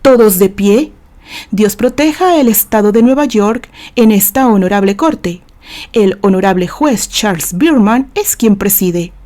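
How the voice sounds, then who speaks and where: A Mexican woman voicing a male court clerk in an audio novel, proclaiming formally and loudly. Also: medium pitch, animated, a little slow, natural voice. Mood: solemn, proclaiming. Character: loud, ceremonious male court clerk calling session.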